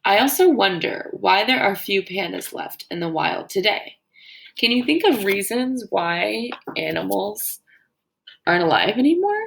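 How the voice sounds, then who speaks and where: A woman teacher doing an educational read-aloud for children, explaining as she reads and pausing to wonder aloud and ask questions. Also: medium pitch, big pitch swings, gravelly, a bit bright. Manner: explanatory, pauses to wonder aloud and pose questions to young listeners. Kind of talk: educational read-aloud of a children's nonfiction book with think-aloud comments.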